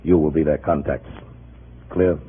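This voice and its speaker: Very deep, domineering party boss. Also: male voice, fairly even intonation. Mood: stern, insistent. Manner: cold, curt, commanding, deliberate. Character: big, stern, domineering communist party boss. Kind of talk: 1950s radio drama acting. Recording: phone-narrow old radio recording, faint noise.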